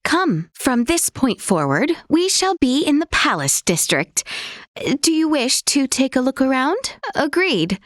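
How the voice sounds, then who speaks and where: A woman's voice: high voice, big pitch swings, unhurried, dry recording, clean studio sound. A woman voicing a young, earnest royal princess in a video game, speaking in formal, polite, old-fashioned courtly phrases. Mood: composed, courteous. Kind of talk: scripted video game voice acting.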